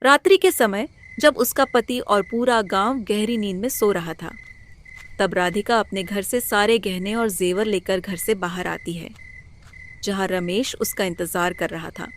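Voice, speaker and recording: A woman's voice: mid-range voice, a little slow, slight background noise. A woman narrating a scripted moral story as a storybook narrator.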